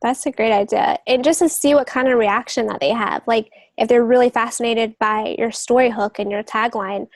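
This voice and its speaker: A woman chats on a podcast in a fairly high voice with clear vocal fry. She gives advice informally, in loosely phrased thoughts. The recording is dry and close-sounding. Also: steady tone, moderate pace, few pauses, no echo. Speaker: literary agent and novelist giving advice. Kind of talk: casual podcast chat.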